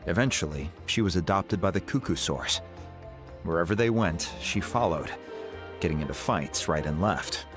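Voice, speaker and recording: Gruff game chief, deep voice, animated intonation, avuncular storyteller, music behind. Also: male voice, moderate pace. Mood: explaining. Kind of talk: video game voice acting.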